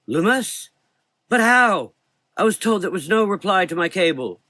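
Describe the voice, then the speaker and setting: Frail, high-voiced old doctor. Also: male voice, big pitch swings, sharp tone, no room sound, heavily acted. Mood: weak, surprised. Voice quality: weak, thin elderly voice. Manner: halting, repeating phrases, exclaiming. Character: frightened frail elderly male doctor, haunted, confused. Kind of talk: gothic horror audio drama acting.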